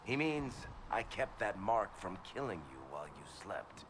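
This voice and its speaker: A man's voice: on the low side, sing-song, normal speed, noisy background. An actor playing a wry, roguish crossbowman in a fantasy video game. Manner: wry, sardonic. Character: roguish, wisecracking male crossbowman, fond of his weapon.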